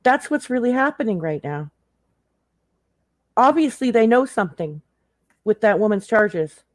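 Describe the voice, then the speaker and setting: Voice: medium pitch, animated, measured pace, no room sound, studio quality. A livestream co-commentator chatting casually in a blunt, profane way. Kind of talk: casual chat.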